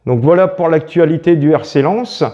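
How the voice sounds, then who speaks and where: A man's voice: on the high side, moderate pace, dark tone, highs cut off. A man in his fifties presenting amateur local news, with many hesitations and fillers.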